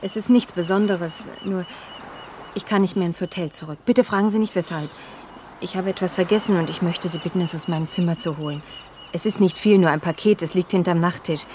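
An actress playing an anxious, secretive young woman in trouble, pleading urgently and haltingly in a German radio crime drama. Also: lowish voice, steady tone, warm tone, a little hiss or hum, natural voice.

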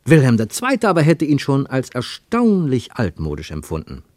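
A man narrating a literary radio play with expressive, scripted storytelling. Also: mid-range voice, normal speed, dry recording, clean studio sound.

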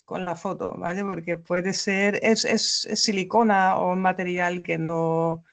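A woman's voice: on the low side, steady tone, a little slow, vocal fry. Chatty woman podcast host. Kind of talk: casual chat.

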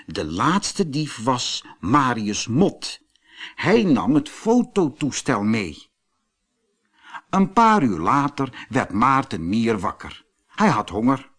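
Expressive male storyteller, slow and lively. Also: mid-range voice, husky. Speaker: audiobook narrator. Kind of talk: children's fairy tale narration.

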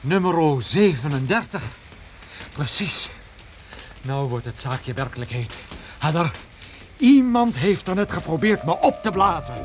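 An actor playing a weary, wry private detective in a radio drama, with a dry manner and hesitant, bursting delivery. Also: male voice, on the high side, expressive, measured pace, background noise. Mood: tense, wry. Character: wry male private detective, weary, jumpy, suspected in smuggling.

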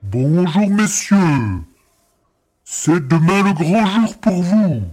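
A man's voice: high-pitched, lively intonation, slow pace, darkish tone, heavily acted. A man playing a ceremonious alien organizer of arena games addressing captives in an audio drama. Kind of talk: French audio drama acting.

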